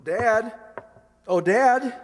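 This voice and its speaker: An actor playing an earnest, flustered young son in love, emphatic and pleading, in a radio comedy. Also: male voice, very high-pitched, drawn-out words, background noise, heavily acted. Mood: eager, flustered. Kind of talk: old-time radio comedy acting. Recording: reverberant hall, stage radio play.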